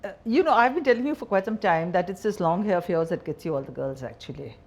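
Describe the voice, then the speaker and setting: A woman's voice: lowish voice, animated, fast. A woman with an Indian accent interviewing informally, asking direct, teasing questions in a conversational style. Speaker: journalist interviewer.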